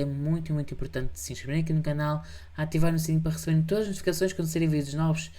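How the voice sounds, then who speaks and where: A man's voice: fairly high pitch, dark tone. A man with a European Portuguese accent hosting a reaction show, chatting casually and insistently urging viewers to subscribe. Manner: insistent, repeats pleas to subscribe and like. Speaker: host reacting to videos. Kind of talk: casual commentary.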